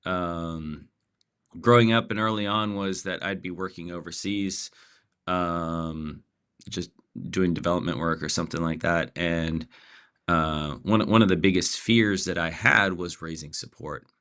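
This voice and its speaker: A man's voice: deep voice, steady tone, unhurried, a bit bright, smooth. A man in his forties from Pakistan, a podcast guest talking casually with many fillers and restarts. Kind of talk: casual interview chat.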